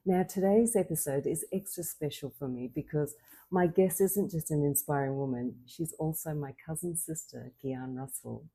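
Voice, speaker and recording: Low, mellow woman in her fifties hosting. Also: moderate pace, breathy edge, rough recording. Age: 50s. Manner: warm welcoming scripted intro, then conversational questions. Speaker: podcast host. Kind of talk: podcast interview.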